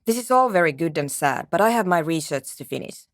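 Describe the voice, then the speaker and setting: Curt, low-voiced woman programmer, acted by a woman. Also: animated, brisk, no echo, lightly acted. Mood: curt, dismissive. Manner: brusque, dismissive, dry. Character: curt, skeptical, world-weary woman programmer absorbed in research. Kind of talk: video game character dialogue.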